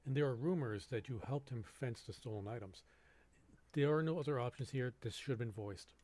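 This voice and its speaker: A man's voice: medium pitch, fairly quick, darkish tone. A man streaming a video game, casually commenting on play and reading on-screen text aloud. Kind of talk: live gameplay commentary, reading dialogue aloud.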